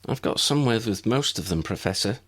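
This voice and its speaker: A man plays a shy young schoolboy wizard in a storybook. He sounds unsure and polite, muttering a little, with a husky edge. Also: lowish voice, animated, brisk, fairly bright tone, pitched above the performer's own voice. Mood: dejected. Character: shy, dejected schoolboy wizard lacking confidence.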